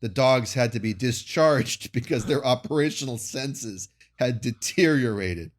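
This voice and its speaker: A man's voice: mid-range voice, lively intonation, a little slow. A man co-hosting a podcast, making dry sarcastic asides and reading passages from an article aloud. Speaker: podcast co-host. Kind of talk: casual podcast chat, reading article excerpts.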